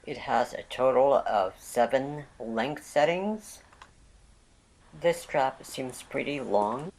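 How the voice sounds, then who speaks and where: A vlog host narrating a product unboxing with a voice left raspy by a lingering cold. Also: mid-range voice, expressive, takes it slowly, darkish tone, low-quality recording.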